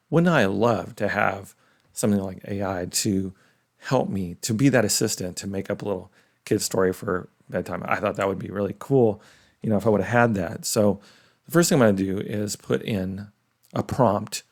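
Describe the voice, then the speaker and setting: A middle-aged man hosting a casual, conversational demonstration in a musing way. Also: on the low side. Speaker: host.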